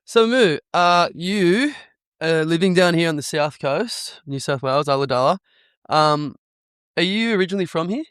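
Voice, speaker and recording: A man hosting a podcast, asking questions in a relaxed, chatty way and reacting quickly to his guest. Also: on the high side, clean tone, dry recording, clean studio sound. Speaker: podcast host. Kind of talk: casual interview chat.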